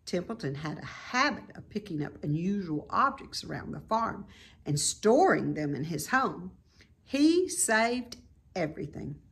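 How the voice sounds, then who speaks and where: A woman reading a children's novel aloud in an expressive, deliberate way. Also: lowish voice. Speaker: storybook reader. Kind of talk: scripted narration of a children's novel.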